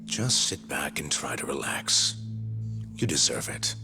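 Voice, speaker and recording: Male director: pompous and volatile, swooping pitch, slow theatrical delivery, game drama over music. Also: very animated, gravelly, full character voice. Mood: smug, soothing. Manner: emphatic, theatrical. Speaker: research center director. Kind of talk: dramatic video game cutscene acting.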